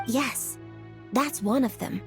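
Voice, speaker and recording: A woman's voice: fairly high pitch, slow pace, soft background music. A woman playing a serious, businesslike young female official in a video game, speaking precisely and matter-of-factly.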